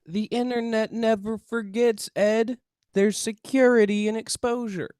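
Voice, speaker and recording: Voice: mid-range voice, fairly even intonation, unhurried, a little mellow, clean tone, no echo, clean studio sound, lightly acted. A performer in a table read playing Hank, a defensive, paranoid male amateur UFO researcher who speaks emphatically and stammers. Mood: earnest, self-important. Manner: stammering, emphatic, self-serious. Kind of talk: table read of a comedy script.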